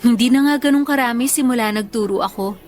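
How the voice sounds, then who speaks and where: A woman playing a tired, affectionate young schoolteacher in a Filipino radio love drama, speaking softly and earnestly. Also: fairly high pitch, fast, faint music behind, lightly acted. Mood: weary, confiding.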